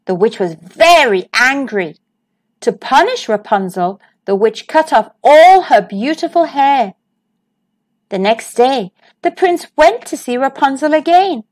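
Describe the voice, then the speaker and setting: Slow, sing-song woman reading a storybook. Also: high-pitched, sing-song. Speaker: storyteller, channel host. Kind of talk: reading a picture book aloud to children.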